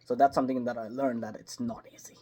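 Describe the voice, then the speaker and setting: Man, voice actor guest. Animated, rambling storyteller, mid-range voice, leans on "like", gets loud when excited. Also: mid-range voice, fast talker, slightly rough. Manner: loose, hesitant phrasing with many "like"s. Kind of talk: casual interview chat.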